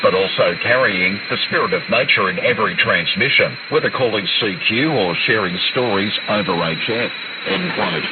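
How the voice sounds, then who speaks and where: Low-voiced man through heavy shortwave static. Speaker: amateur radio news correspondent. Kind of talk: scripted news report read aloud. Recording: very noisy narrow-band shortwave radio reception. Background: loud shortwave static.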